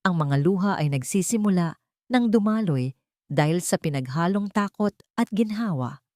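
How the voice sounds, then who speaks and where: Low-voiced woman narrating drama, dry close sound. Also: animated, moderate pace, a little mellow, no echo. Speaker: story narrator. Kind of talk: scripted dramatic narration of a romance story.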